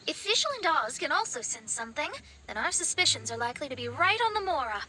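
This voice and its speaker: A woman's voice: sits high, sing-song, quick pace, background noise. A woman playing a self-assured young astrologer in a video game, articulate, proper and analytical as she explains things. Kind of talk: video game character acting. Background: game music.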